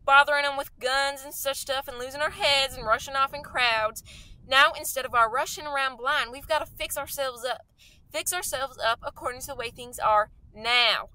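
A woman as a fervent, high-pitched scheming survivor soldier. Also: steady tone, moderate pace, bright, forceful tone, low-quality recording. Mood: urgent, knowing. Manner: insistent, folksy slangy talk. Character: fervent, scheming artilleryman survivor, hungry for power. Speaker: actor in a radio play. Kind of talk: radio play acting.

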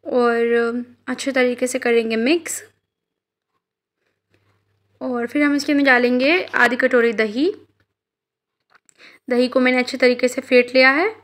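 A woman's voice: sits high, fairly bright tone. A woman hosting a home cooking channel, talking viewers through a recipe step by step and speaking to them directly. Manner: explains each step, addresses viewers directly.

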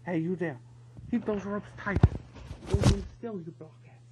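A man voicing a gruff, bossy soldier barking orders at his troops in a storybook reading. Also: medium pitch, fairly even intonation, moderate pace, close to an everyday voice. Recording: dull lo-fi recording with faint hum.

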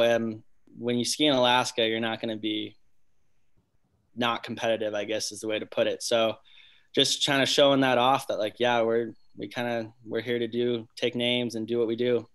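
A man's voice: mid-range voice, fairly bright tone. A man in his twenties, a college athlete, answering press questions over a video call, easygoing and confident with lots of casual fillers. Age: 20s. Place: small room indoors. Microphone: laptop webcam on a video call.

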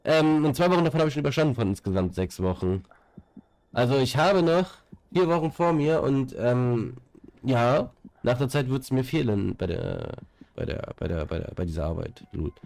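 A man's voice: mid-range voice, animated, normal speed, vocal fry, darkish tone. A young man with a stuffy nose from a cold, chatting casually on a headset as he plays a game and rambles with fillers and repeated words. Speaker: gaming streamer. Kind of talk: casual chat while playing.

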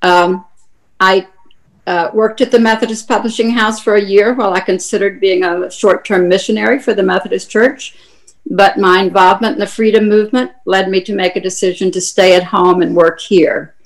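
A woman's voice: mid-range voice, steady tone, normal speed, poor audio. An older woman from Tennessee, a panelist, introducing herself in an earnest, reflective way over a close webcam at home. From Tennessee, USA. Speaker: panelist, activist. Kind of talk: video call panel introduction. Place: home room. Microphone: laptop webcam, close.

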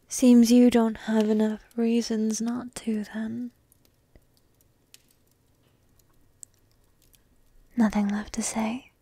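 A woman's voice: fairly high pitch, mellow, no echo, heavily acted. A woman playing a petite, entitled but devoted dragon princess in a whispered, coy and intimate fantasy ASMR roleplay. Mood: teasing. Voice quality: breathy, whispery. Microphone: close microphone, dry.

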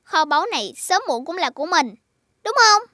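A high eager cartoon boy. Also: sing-song, normal speed, pushed and bright, a little hiss or hum, full character voice. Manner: eager, talkative. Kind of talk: cartoon voice acting.

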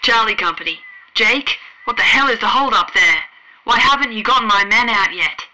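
A woman's voice: on the low side, measured pace, pushed and bright, low-quality recording. A woman voicing a blustering male vice admiral who barks commands over the radio. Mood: irritated. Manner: barking, commanding.

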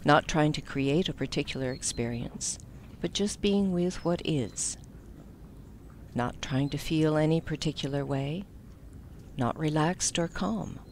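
A woman with a slightly low voice leads a guided meditation. She instructs gently, letting the ends of her phrases fall soft. There is some faint noise behind her voice. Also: unhurried.

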